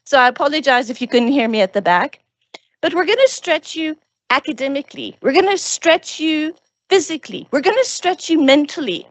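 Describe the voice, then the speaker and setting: A woman's voice: high-pitched, a little fast, dry recording. A woman leading a live orientation session, coaxing the audience through an activity on a handheld mic in a lecture venue. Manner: lively, animated, coaxing the audience; says she has a very powerful voice. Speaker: head of learning and teaching.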